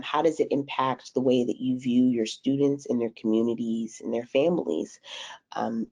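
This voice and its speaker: A woman's voice: deep voice, dark tone. A woman in her thirties with a stuffy nose from a cold, speaking articulately as a guest educator on a video call. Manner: articulate, measured, with fillers. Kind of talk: webinar interview.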